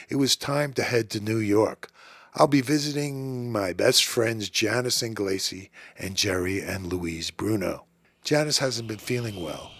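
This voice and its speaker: Husky-voiced man narrating a trip. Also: fairly low pitch, fairly bright tone, dry recording. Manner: chatty, homespun storytelling. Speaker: show host. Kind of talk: scripted travel narration. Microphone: voice-over.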